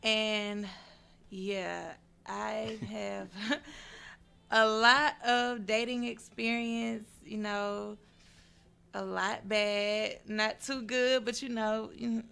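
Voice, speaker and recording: A woman's voice: mid-range voice, steady tone, extremely slow, creaky, bright, forceful tone, clean tone. A woman, chatting casually as a guest on a show. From Atlanta, USA. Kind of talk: casual chat.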